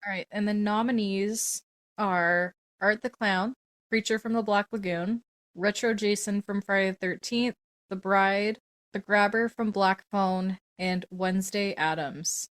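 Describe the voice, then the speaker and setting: A woman's voice: medium pitch, fairly even intonation, unhurried, fairly bright tone. A woman hosting a podcast in casual chat, presenting award categories in an informal, casually profane way. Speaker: podcast host.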